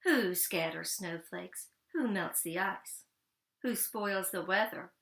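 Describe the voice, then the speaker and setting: Woman reading as a slow, dreamy little poet mouse. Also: fairly low pitch, vocal fry, fairly bright tone, echoey room, exaggerated voice. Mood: reciting. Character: small male field mouse, calm dreamy poet. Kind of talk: children's storybook read-aloud.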